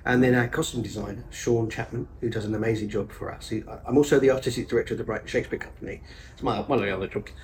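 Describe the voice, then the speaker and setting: A man talks loosely and eagerly about his theatre work. He rambles, restarts his sentences and piles on fillers. His pitch sits in an ordinary middle range. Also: fairly even intonation, rapid-fire, darkish tone, a little hiss or hum, rough recording. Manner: enthusiastic, rambling, self-interrupting with fillers. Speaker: actor and stage director, podcast guest. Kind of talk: casual conversational interview.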